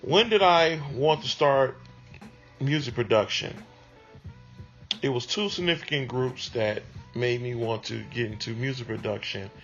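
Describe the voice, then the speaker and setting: A man speaks in a mid-range voice, recalling things in a relaxed interview manner with plenty of ums and pauses. The recording sounds a little muffled, with soft music playing underneath. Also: medium pitch. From Detroit, USA. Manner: unhurried, conversational, with many ums. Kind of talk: reflective interview answers.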